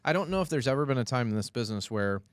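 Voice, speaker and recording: A man co-hosting a podcast, chatting in a quick, animated way and making light jokes. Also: mid-range voice, no room sound. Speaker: podcast co-host. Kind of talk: casual podcast conversation.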